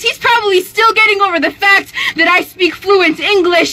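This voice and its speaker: A woman's voice: extremely high pitch, sharp tone, low-quality recording. A seventeen-year-old woman reciting spoken word poetry in an impassioned, emphatic, declamatory way.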